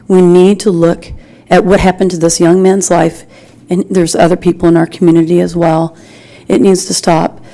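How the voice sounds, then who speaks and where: Woman, American accent, soft dark tone, slightly low pitch, earnest public comment, hesitant yet pressing. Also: flat delivery, normal speed. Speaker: community member speaking in public comment at a school board meeting. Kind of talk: earnest, informal public address. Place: public meeting room.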